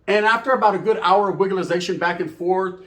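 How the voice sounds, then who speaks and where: A man telling a comedic story, animated and slangy, swinging between loud bursts and low mutters. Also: brisk. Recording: echoey room.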